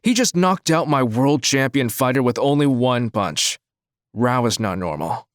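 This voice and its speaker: A man's voice: mid-range voice, expressive, unhurried, dry recording, studio quality, natural voice. An actor playing an uneasy, indignant millionaire businessman in an audio drama, wary in his delivery.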